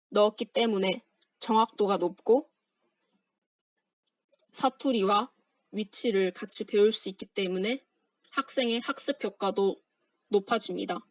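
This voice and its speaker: A young woman, a university student, reading a formal project presentation aloud in a steady, scripted manner. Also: mid-range voice, dry recording. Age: young adult.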